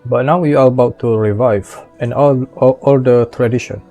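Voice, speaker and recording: Mellow-voiced man doing gameplay commentary. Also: mid-range voice, fairly even intonation, normal speed. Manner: repeats words and phrases. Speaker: game streamer. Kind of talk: casual gameplay commentary, reading prompts aloud. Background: game music.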